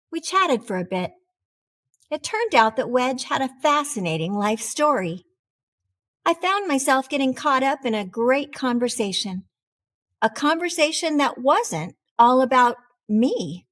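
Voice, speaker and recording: Casting: female reader as a proud talking cheese narrating a storybook, high-pitched, theatrical, unhurried. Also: heavily acted. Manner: theatrical, expressive, deliberate delivery. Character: proud, dramatic cheddar wheel learning humility, storybook narrator. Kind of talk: animated children's storybook read-aloud.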